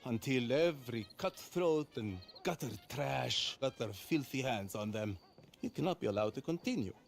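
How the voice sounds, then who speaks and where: An actor voicing a refined, menacing crime boss with an Italian accent, speaking formally and imperiously in a game cutscene. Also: male voice, medium pitch, expressive, normal speed, creaky. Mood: smooth, calculating. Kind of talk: video game cutscene acting.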